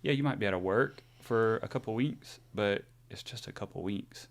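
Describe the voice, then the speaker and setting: A man's voice: lowish voice, normal speed. A man in his forties co-hosting a podcast, chatting openly about his own experience in a rambling way, with many fillers and restarts. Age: 40s. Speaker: podcast co-host. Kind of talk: casual chat.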